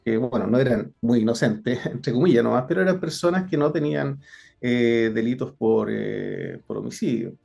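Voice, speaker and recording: A man's voice: medium pitch, gravelly, darkish tone. A middle-aged man with a Chilean Spanish accent, a former police investigator telling his story as an interview guest, earnest and full of hesitations and restarts. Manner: frequent hesitations and self-corrections, earnest. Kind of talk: interview, personal account.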